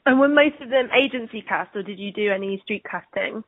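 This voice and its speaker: Female phone interviewer, brisk and chatty, slightly husky, thin telephone sound. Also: mid-range voice, dry recording. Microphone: telephone call. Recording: tinny, band-limited phone line.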